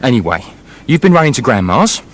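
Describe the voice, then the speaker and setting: An actor playing a fussy, defensive male writer who haltingly narrates a fairy tale in a comic radio play. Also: medium pitch, sing-song. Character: flustered, defensive male storyteller, making it up as he goes.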